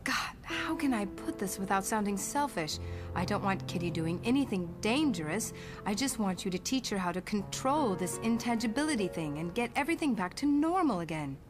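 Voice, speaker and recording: A woman's voice: medium pitch, lively intonation, moderate pace, over music, lightly acted. Woman acting a firm, protective mother. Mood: worried, firm. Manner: earnest, firm, sometimes sarcastic. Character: protective, no-nonsense mother of a teenage girl. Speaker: voice actor. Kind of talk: motion comic dialogue.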